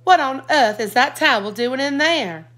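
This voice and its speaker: A woman plays a stern, worried mother, scolding sharply with her voice pitched up and raised. The mother in this storybook reading has little patience for nonsense. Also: lively intonation, normal speed, a bit bright.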